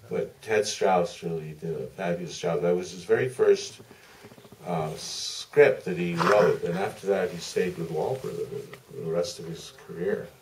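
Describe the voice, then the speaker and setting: Older guy telling film stories at a q&a, real deep voice, bit of hiss. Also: unhurried. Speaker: documentary director, panel guest. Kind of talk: anecdotes at a live audience Q&A. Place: museum theater. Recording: distant, noisy auditorium pickup.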